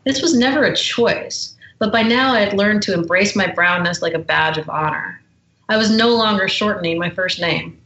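A woman's voice: mid-range voice, normal speed, a bit bright, reverberant, rough recording. A woman guest who is an author, speaking in a forthright, indignant way and reading from her memoir. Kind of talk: reading aloud from her memoir, then conversation.

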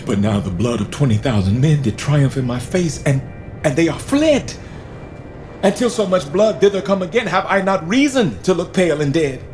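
A man's voice: fairly high pitch, expressive, moderate pace, a little mellow, faint music behind, natural voice. An actor playing a proud, doomed young English king, speaking in grand, declamatory Shakespearean verse that swings from whispers to ringing cries. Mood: shaken. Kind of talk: Shakespearean stage acting.

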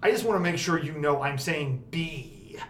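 A man hosting a podcast about energy policy, talking casually with a loud, projecting voice and plenty of emphasis. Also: a little high, animated, moderate pace. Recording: roomy echo, faint background noise.

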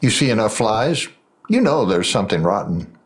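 An actor playing a vindictive, bitter investigator in an audio drama, menacing and accusatory. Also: male voice, lowish voice, sing-song, moderate pace. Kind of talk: full-cast audio drama acting.

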